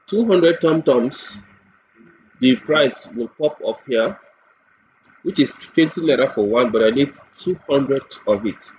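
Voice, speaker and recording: A man presents a software demo step by step, deliberately, repeating phrases and posing questions to himself as he goes. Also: medium pitch, animated. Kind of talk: step-by-step screen tutorial narration. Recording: dull lo-fi mic with clear background noise.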